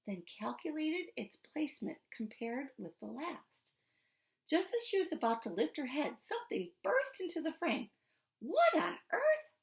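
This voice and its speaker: Woman, high-pitched voice, very lively intonation, expressive storybook read-aloud, emphatic phrasing for children. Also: creaky. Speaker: teacher reading a children's book aloud.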